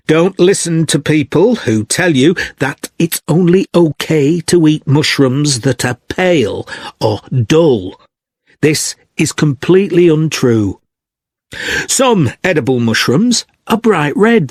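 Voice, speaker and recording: A male author and guest speaker giving practical, instructive advice in a scripted talk. His voice sits a little high and moves widely in pitch, with unhurried delivery. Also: husky, no echo, clean studio sound, heavily acted. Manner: measured, instructive. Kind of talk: informational talk, scripted exam listening recording.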